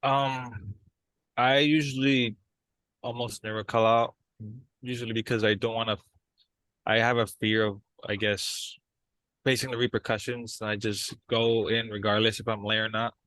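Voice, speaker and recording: A man playing a restless young therapy client in a role-play, speaking in a halting, hesitant way. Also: fairly low pitch, level tone, a bit bright, dry recording, lightly acted, low-quality recording. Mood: hesitant, earnest. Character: soft-spoken restless young man in couples-style therapy, aspiring drummer.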